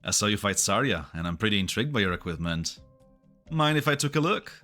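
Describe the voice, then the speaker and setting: A man's voice: on the low side, fast talker, faint music behind. A man playing an eager, polite character in a game fan dub. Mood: curious, calm. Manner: animated, polite.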